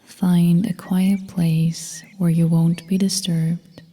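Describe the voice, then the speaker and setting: A woman guiding a meditation as a yoga nidra instructor, speaking in a soft, breathy half-whisper with a calm, gentle, soothing manner. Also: lowish voice, slow pace. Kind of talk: guided meditation narration. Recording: long reverb, faint noise with birdsong.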